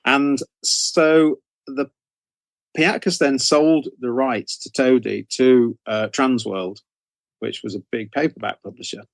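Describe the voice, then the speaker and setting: A man's voice: mid-range voice, fairly even intonation, slow pace, gravelly, no echo, rough recording. British man in his sixties, dry close sound. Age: 60s. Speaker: interview guest, horror author. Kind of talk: conversational storytelling in an interview.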